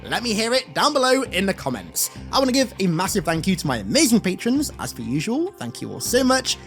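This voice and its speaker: Male video host, animated and swooping delivery, conversational gaming commentary with wry asides, light music underneath. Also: sits high, fairly quick. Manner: conversational, direct address to viewers, wry asides. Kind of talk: casual scripted video commentary.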